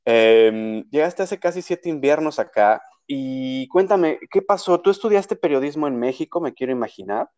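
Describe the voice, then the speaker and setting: A man's voice: on the high side, animated, moderate pace, few pauses, darkish tone, muffled recording. A young adult man from Mexico City with a Mexico City accent, hosting a casual interview podcast and talking in a chatty way with many fillers and restarts. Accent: Mexico City Spanish. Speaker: podcast host.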